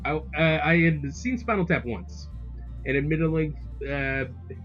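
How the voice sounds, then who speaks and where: A man around thirty chatting casually as a streamer, in a halting, rambling, loosely profane way. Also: a little high. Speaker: game streamer. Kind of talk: casual chat. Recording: dull lo-fi sound, music behind. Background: music.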